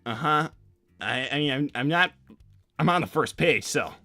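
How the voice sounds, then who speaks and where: A man voicing a young cartoon hedgehog hero who is awkward and evasive, talking in hesitant, casual slang for an animated roleplay stream. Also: a little high, normal speed, no room sound, faint music behind. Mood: flustered, stalling. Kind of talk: improvised in-character livestream roleplay.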